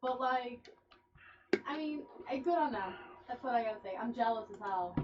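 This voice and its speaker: A woman co-hosting a casual radio show. She swears freely and talks low, almost in a whisper, from far off the mic. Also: moderate pace. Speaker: co-host. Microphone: sitting far from the mic. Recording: dull lo-fi stream audio with faint hiss.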